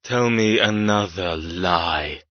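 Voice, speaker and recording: A man playing a menacing, seductive lover in an audiobook romance, slow and controlled, with a commanding manner. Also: low voice, no echo, muffled recording.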